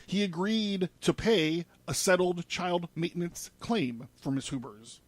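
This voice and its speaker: A man's voice: sits high, a little slow, no room sound. A man presenting a podcast in a studio, reading news articles aloud with informal asides. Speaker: podcast presenter laying out a case.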